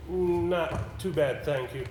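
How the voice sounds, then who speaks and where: A man acting on stage as an anxious, reluctant gentleman with a hesitant, polite and formal way of talking. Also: a little high, takes it slowly. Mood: uneasy, reluctant. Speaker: amateur stage actor. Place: indoor theater stage. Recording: roomy, noisy live stage recording.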